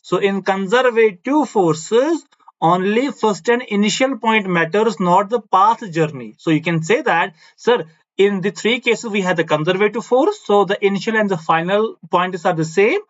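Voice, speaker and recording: A high-pitched male physics teacher whose first language is Kashmiri, explaining step by step in simple English. He repeats himself for emphasis and keeps asking "no?" to check that students follow. The recording sounds slightly muffled and lo-fi. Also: normal speed, fairly bright tone. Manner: repeats key phrases, asks "no?" for agreement. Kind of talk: blackboard physics lecture.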